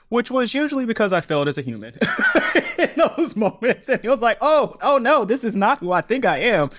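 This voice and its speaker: Animated man telling stories with laughter. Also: mid-range voice, dry recording, clean studio sound. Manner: animated, laughs often, acts out his own past remarks. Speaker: storyteller and podcaster, guest. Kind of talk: casual interview talk.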